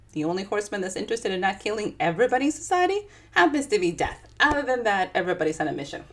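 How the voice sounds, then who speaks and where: A woman with a Dominican accent, an author chatting with viewers and then reading her own book aloud in a live session. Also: medium pitch. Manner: chatty and animated, talking straight to viewers.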